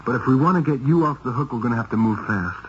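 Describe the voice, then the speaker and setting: A hard-boiled male detective, played by an actor, speaks in clipped, commanding phrases at a medium pitch. He sounds like vintage radio mystery drama, recorded dull and with audible hiss. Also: fast talker, natural voice. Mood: urgent, commanding. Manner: clipped, direct questioning. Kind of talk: 1970s radio mystery acting with first-person narration.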